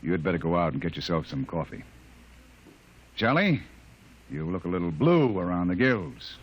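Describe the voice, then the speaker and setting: An actor in a 1950s radio drama playing a measured, quiet army colonel. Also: male voice, sits low, big pitch swings, noisy background. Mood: concerned. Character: calm, weary male army colonel. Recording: muffled old radio broadcast.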